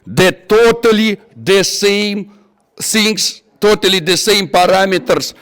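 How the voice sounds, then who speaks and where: A man with a non-native English accent giving an informal technical workshop, halting and repeating himself as he searches for words. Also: sits high, lively intonation, fairly bright tone. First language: not English. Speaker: workshop instructor. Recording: echoey hall with long reverb.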